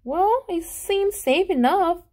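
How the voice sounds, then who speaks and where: A woman reading a storybook aloud to children in an expressive, animated way, pausing to put questions to them. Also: extremely high pitch. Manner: animated, with dramatic gasps and emphasis.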